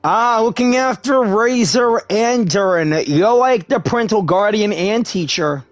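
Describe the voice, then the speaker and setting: A man's voice: sits high, a little slow, dry recording, clean studio sound, faint music behind. A man commentating over a game playthrough in a rambling, conversational way. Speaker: gaming video creator. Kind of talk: game playthrough commentary, reading dialogue aloud.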